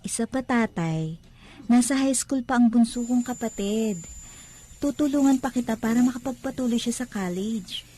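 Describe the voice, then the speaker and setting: Soft, mellow devoted Filipino daughter. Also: female voice, on the high side, expressive, normal speed, husky. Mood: loving, earnest. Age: young adult. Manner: respectful, earnest. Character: devoted, pious grown daughter, grateful and respectful. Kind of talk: radio drama acting.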